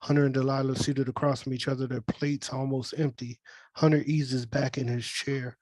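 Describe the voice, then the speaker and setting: A man's voice: medium pitch, flat delivery, normal speed, darkish tone, no echo. A man reading scripted stage directions as the narrator of a table read.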